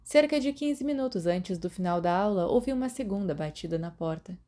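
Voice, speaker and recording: A woman with a Brazilian Portuguese accent reading fan fiction aloud in steady, measured narration. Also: medium pitch. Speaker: podfic reader.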